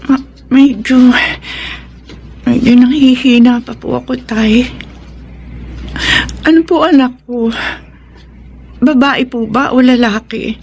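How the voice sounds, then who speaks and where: A woman's voice: on the high side, takes it slowly, vocal fry, long pauses, a little mellow, a little hiss or hum. An actress playing a sorrowful, repentant young single mother in a melodramatic radio drama, earnest and plaintive. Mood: weak.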